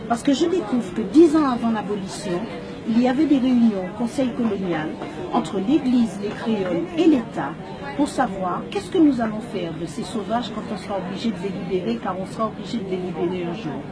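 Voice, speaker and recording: A woman's voice: mid-range voice, expressive, a little fast. A woman from Martinique, presenting her book in an impassioned, emphatic storytelling manner with rhetorical questions. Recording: crowd chatter behind the voice.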